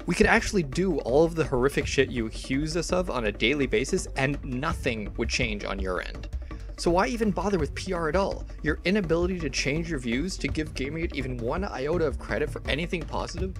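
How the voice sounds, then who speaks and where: A man's voice: fairly high pitch, animated, a little fast, over music, close to an everyday voice. A man dramatically reading the part of a combative, exasperated online debater, argumentative, emphatic and sweary.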